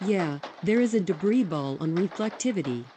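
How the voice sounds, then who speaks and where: Woman playing a low-voiced meteorologist amid loud noise. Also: expressive, moderate pace, lightly acted. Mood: grave, urgent. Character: shaken female TV meteorologist warning viewers to shelter. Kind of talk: live breaking storm coverage. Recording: loud noisy background.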